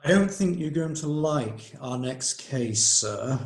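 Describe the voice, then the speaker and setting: Guy doing a court clerk, gravelly voice, echoey room. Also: medium pitch, lively intonation, takes it slowly. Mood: deferential. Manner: deferential, hesitant. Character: male court clerk, dutiful. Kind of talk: amateur audio drama acting.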